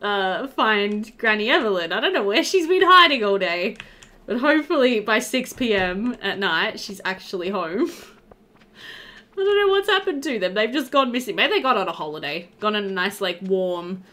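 A woman's voice: mid-range voice, sing-song, normal speed, sharp tone. A woman in her thirties giving casual, chatty let's-play commentary, easygoing and thinking aloud as she plays. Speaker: gaming video host.

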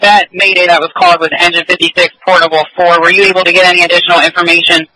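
A male fire dispatcher speaks formally over two-way radio. His voice is high and very sharp, and it stays flat in tone. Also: very fast, no echo, low-quality recording.

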